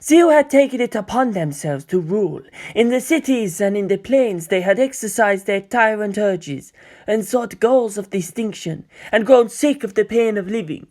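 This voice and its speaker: A man plays an old mountain monk from a storybook, wise and patient. The speech is formal and measured, as a philosophical teacher would give it. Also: sits high, lively intonation, darkish tone, pitched above the performer's own voice. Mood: solemn, patient.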